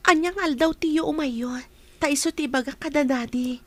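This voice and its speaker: A woman acting a gentle young sweetheart in an Ilocano radio drama. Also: high voice, moderate pace, dry recording. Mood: affectionate, chatty.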